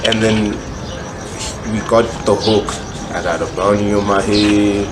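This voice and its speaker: A man's voice: lowish voice, little pitch movement, unhurried. A man talking as a guest rapper in a casual podcast interview, slangy and full of repeated catchphrases. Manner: slangy, repeats catchphrases like "right on" and "you know". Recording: noisy background behind the voice.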